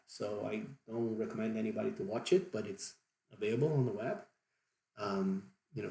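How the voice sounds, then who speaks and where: A man's voice: lowish voice, creaky, a little mellow, reverberant. A Brazilian man in his forties explaining at length with many fillers and restarts, in a Brazilian accent. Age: 40s. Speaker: diving vlogger. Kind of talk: unscripted explanation.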